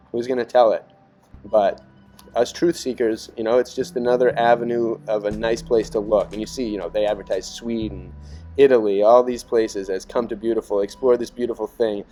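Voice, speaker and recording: A man's voice: fairly low pitch, mellow. A man giving casual, rambling commentary as a video narrator, musing and speculating in a conspiratorial way. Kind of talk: casual rambling commentary over archive images. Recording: music behind the voice. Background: background music.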